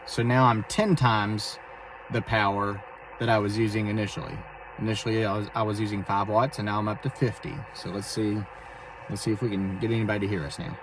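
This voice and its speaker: A man talking casually and haltingly as he explains his amateur radio hobby in a vlog from inside a vehicle. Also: lowish voice, little pitch movement. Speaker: amateur radio operator and video host. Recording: distant mic, clear vehicle and radio noise.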